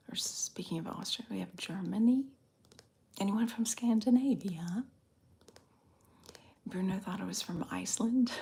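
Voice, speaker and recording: A woman from the Southern US with a slight Southern accent, softly whispering in an unhurried, drawn-out way as she chats with viewers in an ASMR stream. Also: medium pitch, vocal fry, slightly rough. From Southern United States. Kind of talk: ASMR whispered live chat.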